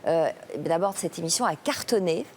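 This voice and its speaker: Gentle woman hosting a TV talk show. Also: lowish voice, lively intonation, normal speed, slight background noise. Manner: soft backchannel murmurs, gentle encouragement. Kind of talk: television talk show interview. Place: television studio.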